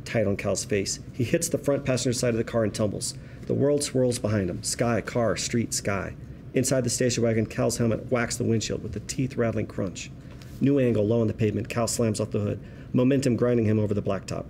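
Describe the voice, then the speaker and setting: A man's voice: lowish voice, a little fast, gravelly, a little mellow, a little hiss or hum. A man reading stage directions aloud as scripted narration of screenplay action.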